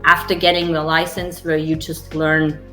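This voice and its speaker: A woman's voice: sits low, flat delivery, normal speed, fairly bright tone, reverberant, soft background music, low-quality recording. A woman from Germany with a German accent, chatting casually as a real estate agent guest, full of "you know" fillers. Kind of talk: casual interview chat.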